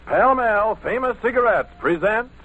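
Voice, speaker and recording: A man's voice: high-pitched, lively intonation, unhurried, pushed and bright, husky. A man announcing in dramatic, declamatory 1940s radio style, on a dull old broadcast recording.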